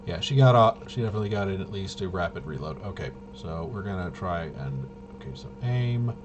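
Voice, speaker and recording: Noisy recording with typing, man, lowish voice, casual tabletop game play-by-play, thinking aloud. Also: expressive, fairly quick, dull audio. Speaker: solo tabletop game player and host.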